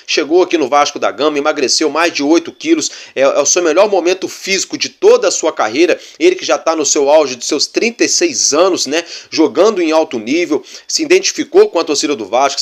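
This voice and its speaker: Chatty Brazilian man talking football. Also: a little high. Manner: chatty, repeats fillers like "né" and "galera". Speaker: fan channel host. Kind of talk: casual football fan commentary.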